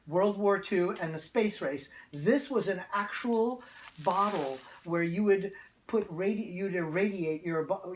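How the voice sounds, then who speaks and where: A man presenting antiques in a casual, excited show-and-tell, chatty and quick to giggle. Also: high-pitched, rough recording. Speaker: museum presenter showing antiques. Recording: clear background noise, clicking.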